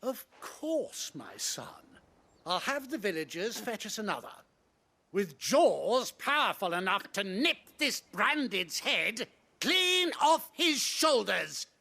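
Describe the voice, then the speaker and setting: A pompous British nobleman for a video game, pitched extremely high and sharp. He is theatrical and cruel, savouring words slowly with haughty disdain. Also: big pitch swings, creaky, exaggerated voice. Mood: placating, vicious.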